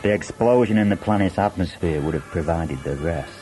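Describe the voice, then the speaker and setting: A man playing a smooth, sceptical sci-fi crewman with a dry, dismissive delivery. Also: sits low, fairly quick, dark tone, soft background music, natural voice.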